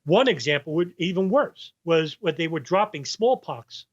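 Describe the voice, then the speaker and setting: A man with a New York accent speaks as a guest on a podcast interview, his voice sitting slightly high. The sound is dull and lo-fi, like a remote call. He rambles, restarting sentences as he searches for names. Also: expressive, moderate pace. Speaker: guest researcher.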